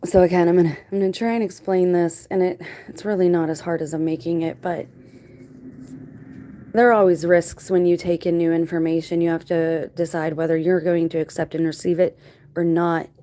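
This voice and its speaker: A woman's voice: lowish voice, little pitch movement, fairly quick, dark tone, slight background noise. A woman hosting her own channel, talking casually to viewers in a reflective, digressive, explanatory way. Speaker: video channel host. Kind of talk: casual rambling monologue to viewers.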